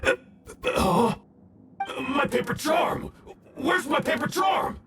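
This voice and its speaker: An actor playing a scheming, gloating, hot-tempered male villain in a video game, gravelly, theatrical and taunting. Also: very high-pitched, animated, slow pace, raised voice, full character voice. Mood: panicked. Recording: roomy, distant, noisy game ambience.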